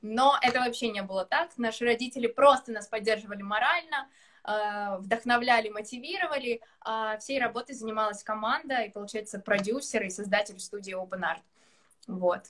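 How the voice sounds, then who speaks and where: A woman in her twenties chatting casually on a video call from a room at home, laughing often and talking with animated gestures. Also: a little high, a little fast. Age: 20s. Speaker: guest singer. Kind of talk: casual live-stream chat. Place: indoor room with curtains.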